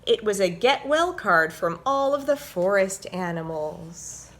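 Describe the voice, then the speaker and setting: A woman hosting a story time for children, reading and explaining things simply with expressive, deliberate phrasing. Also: mid-range voice, a little hiss or hum. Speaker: host and story reader, orchestra violinist.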